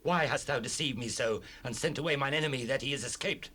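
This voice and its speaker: A man's voice: mid-range voice, fast, bright, forceful tone. An actor playing a wrathful, commanding king who speaks imperiously in archaic language in a scripture audio drama. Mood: accusing. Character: King Saul, wrathful, commanding, murderous ruler.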